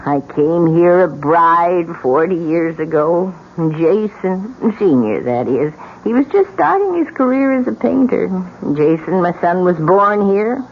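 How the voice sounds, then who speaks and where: An actress playing an old widow in a radio drama, grave, insistent and full of dramatic warnings. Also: female voice, fairly low pitch, big pitch swings. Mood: wistful, sad. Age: older. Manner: grave, dramatic, slow pronouncements. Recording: dull, noisy old radio recording.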